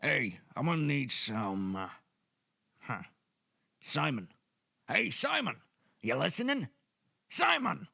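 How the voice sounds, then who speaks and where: A man voicing a rotund, impatient male shop customer with a rough, gruff, curt delivery in an amateur audio drama. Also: fairly high pitch, big pitch swings, takes it slowly, pauses often, pushed and bright, soft background music, heavily acted.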